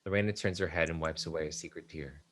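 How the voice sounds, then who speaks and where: A man's voice: a bass voice, fast talker, fairly bright tone. A man reading stage directions as the narrator of a play, in a scripted narration style.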